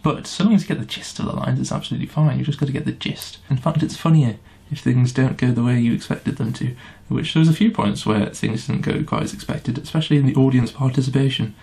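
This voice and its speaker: A man's voice: medium pitch, fast, few pauses, warm tone. A man chatting casually to camera in a wry, self-deprecating way. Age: 20s. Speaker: amateur pantomime performer. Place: small room indoors. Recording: clearly noisy room sound.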